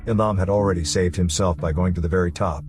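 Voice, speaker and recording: A man's voice: deep voice, fairly even intonation, fast, over music. A man reading scripted narration for a story recap video, as its narrator.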